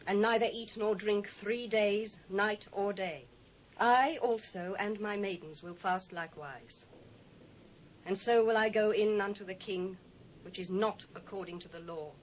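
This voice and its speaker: An actress playing a dignified, resolute young queen with a British accent, measured and earnest in a scripture drama. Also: medium pitch, highs cut off, lightly acted. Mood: grave resolve. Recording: old cassette with faint hiss.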